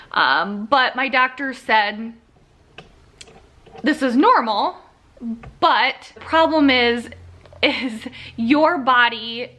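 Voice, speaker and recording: A young woman talks through a casual vlog update in a bright, slightly high voice. She sounds a little congested and sniffly, and she rambles along with lots of fillers and easy asides. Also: slight background noise. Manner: chatty, rambling, with frequent fillers and laughs. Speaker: vlogger.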